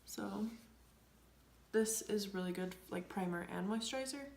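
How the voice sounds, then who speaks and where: Young woman, sniffly from a cold, rambling beauty chat, soft near-whispers, mid pitch, echoey room. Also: measured pace, gravelly. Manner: chatty, rambling, many fillers, drops to soft near-whispers. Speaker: beauty vlogger. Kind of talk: casual makeup tutorial chat.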